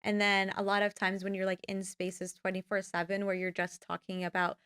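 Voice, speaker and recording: A woman's voice: medium pitch, completely flat delivery, a little fast, fairly bright tone, clean tone, no echo, clean studio sound. A young woman, a filmmaker guest, talking casually in a podcast interview and rambling with many fillers. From United States. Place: podcast studio.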